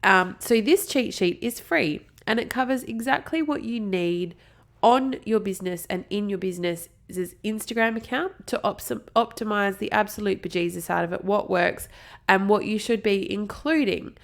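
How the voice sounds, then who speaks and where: An Australian woman in her thirties hosts her own podcast, her voice mid-pitched and a little stuffy from a blocked nose. She talks in an upbeat, chatty way and happily drifts off on small tangents before coming back to her point. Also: moderate pace, creaky. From South Australia. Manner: chatty, upbeat, prone to digressions. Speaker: podcast host. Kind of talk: solo marketing podcast talk.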